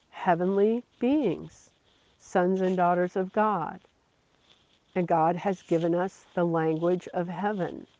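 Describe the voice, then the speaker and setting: A preacher giving a slow, earnest devotional sermon, repeating phrases for emphasis. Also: mid-range voice, a little mellow. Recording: dull lo-fi recording.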